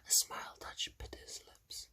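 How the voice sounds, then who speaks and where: A reader whispering a novel in ASMR style, pausing now and then to comment and apologize. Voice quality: soft unvoiced whisper. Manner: stops to comment and apologize mid-reading.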